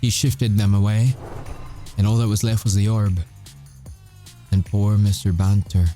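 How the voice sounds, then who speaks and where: Very dark, low-voiced wry werewolf soldier played by a man. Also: little pitch movement, soft background music, lightly acted. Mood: bitter, weary. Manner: wry, measured storytelling with dramatic pauses. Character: male werewolf soldier in rehab, cocky, haunted, wry. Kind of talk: audio roleplay monologue, ASMR.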